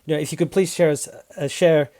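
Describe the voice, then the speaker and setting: Man, host, mellow tone, slightly high voice, polite short prompts, video call. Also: fairly even intonation, quick pace. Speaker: interviewer, host. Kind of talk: interview questions.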